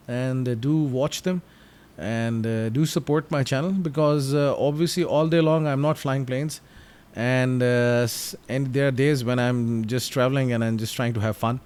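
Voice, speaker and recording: Urdu-native male YouTuber, mid-pitched, hesitant chatty narration with lots of fillers. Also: a little mellow. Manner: halting, many ums and uhs, friendly chatty. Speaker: flight sim YouTuber. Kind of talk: casual narrated gameplay commentary.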